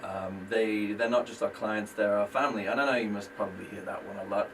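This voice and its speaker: A man's voice: lowish voice, fairly even intonation, a little fast, distant mic, noisy background. A British man, a business-owner guest on a radio talk show, explaining his work in a friendly, self-deprecating way. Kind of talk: casual radio interview.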